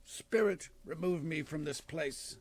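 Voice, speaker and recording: A man's voice: on the high side, unhurried, darkish tone. A man voicing an old miser who cries out with strong emotion in a storybook reading. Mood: pained.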